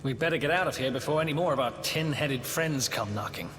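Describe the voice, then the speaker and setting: A man's voice: mid-range voice, fast, fairly bright tone, close to an everyday voice. An actor playing a cocky young male thief in a video game, casual and streetwise with a wry, sounding delivery. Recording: game cutscene with long reverb.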